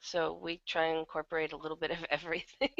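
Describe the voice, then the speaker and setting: A woman's voice: low voice, flat delivery, fast talker, creaky, a bit bright, no room sound, dull audio. A woman chatting casually as an interview guest, talking as an audio drama writer and producer.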